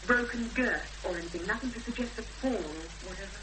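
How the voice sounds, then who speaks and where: A woman's voice: medium pitch, a little fast, close to an everyday voice. Actress as a determined Englishwoman, noisy old recording. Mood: probing. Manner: terse, dry, questioning. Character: determined, sceptical Englishwoman investigating her sister's disappearance. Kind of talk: 1970s radio drama acting. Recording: old radio recording, hum and loud noise.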